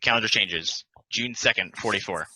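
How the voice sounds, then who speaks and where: A man narrating stage directions at a play table read in a plain, deliberate, announcing manner. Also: fairly low pitch, bright, forceful tone, no room sound, low-quality recording.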